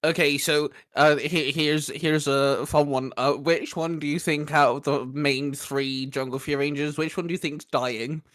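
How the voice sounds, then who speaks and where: Host, male, slightly high voice, relaxed, keeps the list moving. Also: unhurried, hardly any pauses. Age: adult. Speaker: host leading the discussion. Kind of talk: casual fan discussion.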